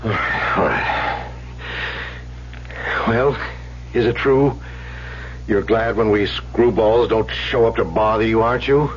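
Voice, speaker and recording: A bitter, needling older man on the analyst's couch, low voice, muffled old radio drama. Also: slow, long pauses. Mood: sardonic, testy. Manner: sardonic, prickly. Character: bitter, needling, troubled older male therapy patient near sixty. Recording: muffled, hissy old radio broadcast recording.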